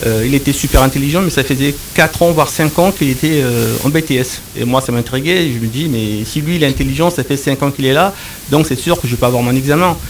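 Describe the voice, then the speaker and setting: Hesitant man, slightly distant mic. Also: fast talker. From Republic of the Congo. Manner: hesitant, many fillers and repeated words. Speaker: enterprise architect, guest. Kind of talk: interview answers. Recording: voice a bit far from mic, faint rumble.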